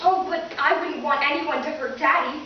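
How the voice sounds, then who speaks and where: A woman's voice: high-pitched, steady tone, moderate pace, close to an everyday voice. A woman playing an innocent, melodramatic teenage daughter in a live radio comedy. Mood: tearful. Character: girlish, devoted, distressed teenage schoolgirl daughter. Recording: very echoey hall, distant, dull, hissy.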